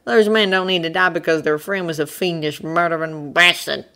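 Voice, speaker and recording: A woman's voice: lowish voice, steady tone, moderate pace, pushed and bright. A woman voicing a plain-spoken, polite but argumentative Texan man, an aeronaut, in a storybook reading. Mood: urgent, frank.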